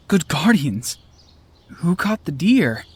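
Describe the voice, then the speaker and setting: A man's voice: on the high side, husky, exaggerated voice. A man playing a plain-spoken pack member in a scripted amateur audio drama.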